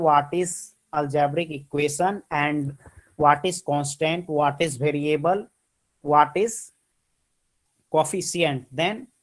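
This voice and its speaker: A man with an Indian accent teaching a maths class online over a computer mic, switching between English and Hindi and repeating his points. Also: on the high side.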